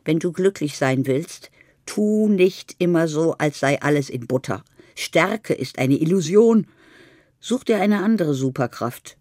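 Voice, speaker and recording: A woman's voice: sits low, animated, unhurried, a little mellow, dry recording, clean studio sound. An older woman playing a dying grandmother in a radio play, blunt, wry, feisty and plain-spoken. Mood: blunt, weary.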